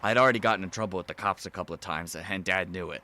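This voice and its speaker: A man's voice: deep voice, rapid-fire, pushed and bright. An actor playing a down-on-his-luck, menacing convict's son in an audio drama, with a streetwise, casual, insinuating manner. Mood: quietly menacing.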